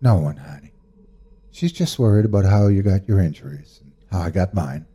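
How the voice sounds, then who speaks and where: A man's voice: low-pitched, animated, normal speed, warm tone, slightly rough. A man voicing a fierce, protective mother who curses at her husband and speaks tenderly to her sons. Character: fierce protective mother, middle-aged woman.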